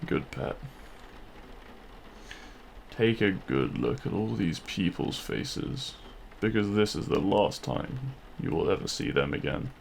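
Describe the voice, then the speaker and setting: Man acting a low, gravelly demon king. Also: lively intonation, slow, slight background noise, full character voice. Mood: smug, commanding. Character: feared demon king, menacing in public, tender lover in private. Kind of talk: romantic ASMR audio roleplay.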